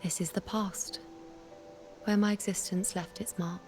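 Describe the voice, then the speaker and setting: An actress playing a calm, pensive young female traveler in a video game. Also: mid-range voice, a little slow, faint music behind, lightly acted. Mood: calm, grave. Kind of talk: subdued video game acting.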